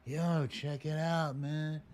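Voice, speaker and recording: A man playing a spaced-out stoner dude, amazed by everything, with a drawn-out, repetitive, dazed delivery. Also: on the high side, level tone, darkish tone. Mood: dazed wonder.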